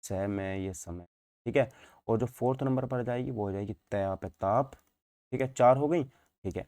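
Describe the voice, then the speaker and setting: Bright-voiced man teaching. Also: mid-range voice, a little slow, no room sound. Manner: repetitive, asks and answers own questions, frequent check-in tags. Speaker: physics teacher. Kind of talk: informal online exam-prep lecture in Hindi mixed with English terms.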